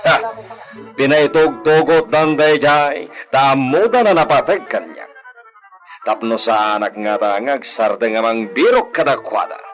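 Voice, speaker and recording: A man playing a grave, scheming landowner in a radio drama, speaking deliberately and dramatically. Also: mid-range voice, animated, fairly bright tone, faint music behind. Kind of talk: Ilocano radio drama acting.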